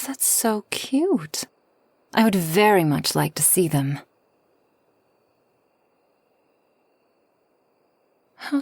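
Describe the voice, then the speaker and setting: Woman doing a gentle old-soul forest witch, asmr style, breathy almost whispering, slow and soothing, really close dry sound. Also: fairly low pitch, no room sound, full character voice. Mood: curious, tender. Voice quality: soft, breathy, often near whisper. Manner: gentle, unhurried, soothing, slightly formal speech. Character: kind, solitary, long-lived forest witch hosting a traveler. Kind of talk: soft ASMR roleplay.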